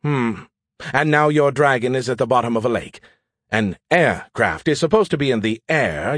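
A man acts out a sarcastic machine mind in an audiobook. He scolds loudly, full of mockery and disbelief, in a raised pitch. The sound is close and dry. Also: no echo. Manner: loud, mocking, incredulous. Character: boastful, sarcastic, exasperated male artificial intelligence, shrieking and scolding. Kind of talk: audiobook character acting.

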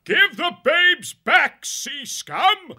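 Thunderous, high-strained badger warrior lord. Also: male voice, big pitch swings, drawn-out words, pushed and bright, heavily acted. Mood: commanding anger. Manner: thunderous, commanding warnings. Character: huge righteous male badger warrior lord, fierce protector.

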